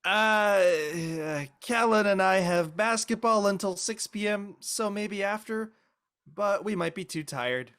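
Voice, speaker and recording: A woman's voice: mid-range voice, takes it slowly, a bit bright. A woman playing a studious, good-natured teenage schoolboy in a drama read. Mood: hesitant. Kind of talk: audio drama table read acting.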